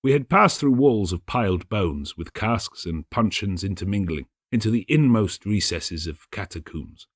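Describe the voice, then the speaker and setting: Low, expressive male audiobook narrator. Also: moderate pace, few pauses, no room sound, studio quality. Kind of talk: dramatic scripted literary narration.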